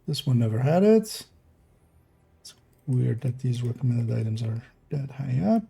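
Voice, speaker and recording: A young man from the Netherlands talks casually on a live stream, thinking aloud as he works. His voice is gravelly and mellow, with a dark tone. He wanders from point to point in a loose, unhurried way. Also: medium pitch. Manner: thinking aloud, relaxed and meandering.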